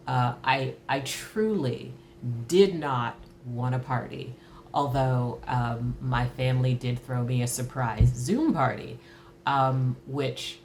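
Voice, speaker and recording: A woman in her early fifties reflecting candidly on her own life in a thoughtful, halting way, as in a personal interview. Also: a bass voice, a little hiss or hum. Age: early 50s. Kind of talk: reflective personal talk to camera.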